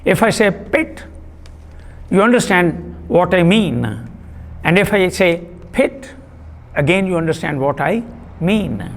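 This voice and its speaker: A man giving a classroom lecture on literary theory, deliberate and repetitive, pausing with fillers. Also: high-pitched, animated, in a large hall. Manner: deliberate, repeats key terms, frequent uh fillers.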